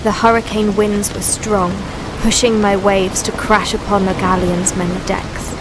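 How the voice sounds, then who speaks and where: A woman's voice: mid-range voice, very noisy, natural voice. A woman voicing the ancient Sea as a grave, brooding, poetic narrator in a scripted radio play. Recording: steady rain and water ambience behind.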